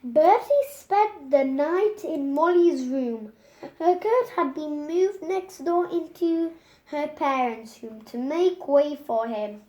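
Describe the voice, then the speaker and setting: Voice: sits high, expressive, slow pace, darkish tone, roomy sound. A child reading a children's storybook aloud, carefully, with stumbles and repeated words.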